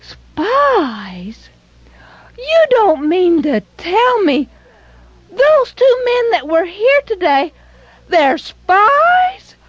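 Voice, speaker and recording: Woman doing a shrill, gushing innkeeper woman. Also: big pitch swings, unhurried, no room sound. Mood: feigned shock. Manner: breathless, high and exclaiming. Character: frightened woman feigning shocked surprise. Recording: dull lo-fi recording.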